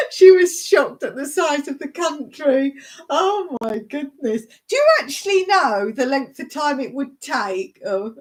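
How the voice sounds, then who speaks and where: A woman hosting a casual live stream, talking to viewers in a rambling, chatty way and laughing often. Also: sits high.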